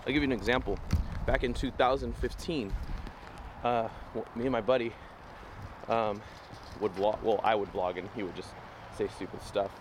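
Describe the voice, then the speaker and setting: A man's voice: fairly low pitch, steady tone, moderate pace. A man hosting a walking vlog, losing his voice, talking in a casual, rambling, upbeat motivational way. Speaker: vlogger host. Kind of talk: walking talk to camera, motivational. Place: outdoors on a city street. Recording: noisy outdoor street recording.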